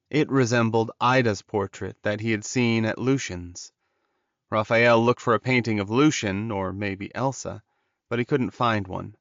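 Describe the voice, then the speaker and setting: A man's voice: mid-range voice, fairly bright tone, no echo. Dry, dull-sounding male audiobook narrator. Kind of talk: scripted dramatic story narration.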